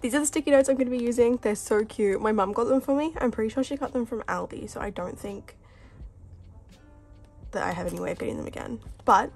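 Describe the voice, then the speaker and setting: Young woman, gravelly cough-worn voice, higher pitch, giggly casual chat, soft music behind. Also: fast. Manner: chatty, giggly. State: sounds sick, coughing voice. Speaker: vlogger. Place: indoors at a desk. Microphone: overhead camera, hands only.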